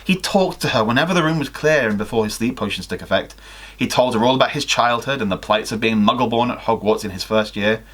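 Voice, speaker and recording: A man's voice: mid-range voice, fast talker, a bit bright. A young man reading a story aloud expressively, with dramatic emphasis and the odd self-correction. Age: young adult. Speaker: guest reader on a livestream. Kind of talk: expressive fan fiction story reading with casual asides. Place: small home room with sloped ceiling.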